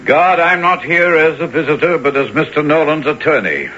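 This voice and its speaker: A man's voice: a little high, lively intonation, normal speed, sharp tone, a little hiss or hum. A man acting a grave, fatherly older attorney with a formal, avuncular manner in a period radio drama. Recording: dull lo-fi old radio sound.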